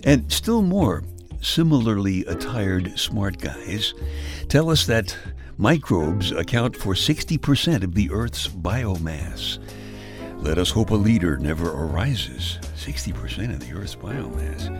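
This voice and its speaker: A man's voice: low voice, slow, over music. A man with a Brooklyn accent giving a wry, scripted comic monologue, chuckling at his own jokes. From Brooklyn, New York. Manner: wry deadpan storytelling with chuckles. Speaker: radio humor host.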